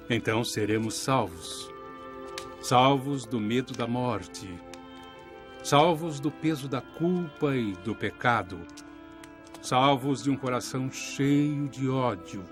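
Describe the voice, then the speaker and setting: A man playing a gentle, devout disciple explaining his faith in an earnest, reflective way in a dubbed Bible cartoon. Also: mid-range voice, close to an everyday voice. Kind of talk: dubbed animated Bible drama.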